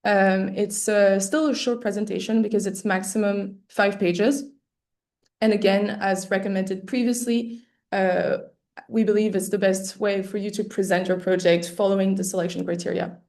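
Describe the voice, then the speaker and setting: A woman losing her voice, presenting an arts award webinar over a video call, clearing her throat often and pausing on many fillers. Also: mid-range voice, fairly even intonation, darkish tone. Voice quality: slightly hoarse. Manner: hesitant, many fillers and restarts. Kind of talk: explaining an application process. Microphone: computer mic on a video call.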